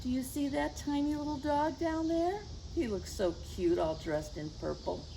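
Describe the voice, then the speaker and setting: A woman reading a picture book to young children in a gentle, teacherly way, with chatty asides to her listeners. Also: high-pitched, lively intonation, moderate pace, vocal fry, background noise. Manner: gentle teacherly address, tag questions to the listeners. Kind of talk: read-aloud story time for young children with chatty asides. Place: outdoors.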